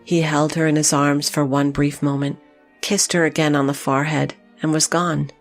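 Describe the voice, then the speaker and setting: A woman's voice: sits low, little pitch movement, normal speed, soft background music. A woman narrating a literary story in an audio drama, reading from a script.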